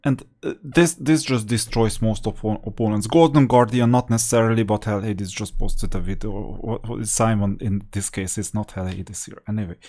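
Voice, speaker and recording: A man's voice: fairly low pitch, fairly even intonation, normal speed, few pauses, mellow. Man giving casual gameplay commentary. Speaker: host of a gaming strategy channel.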